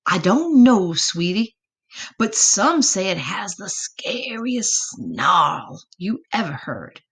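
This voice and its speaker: A woman's voice: medium pitch, sing-song, slow, slightly rough, full character voice. A woman voicing a doting mama possum in a storybook, affectionate and dramatically cautionary. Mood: spooky, cautioning.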